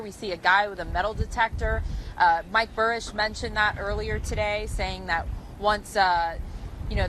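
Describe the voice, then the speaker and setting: A woman's voice: mid-range voice, a bit bright, poor audio. A woman TV news field reporter giving a conversational, ad-libbed live report from outdoors. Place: outdoors on a beach. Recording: faint outdoor ambient noise.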